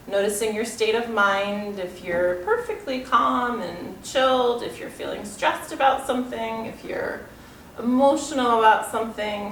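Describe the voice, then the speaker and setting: Stuffy-nosed yoga teacher lady, slow calm cues, roomy echo, mic kinda far off. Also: mid-range voice, slight background noise. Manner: calm, unhurried instruction with soft trailing phrases. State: congested, slightly nasal. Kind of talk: guided yoga class. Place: room with yoga mat, indoors. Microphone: far camera. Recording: echoey room, mic at a distance.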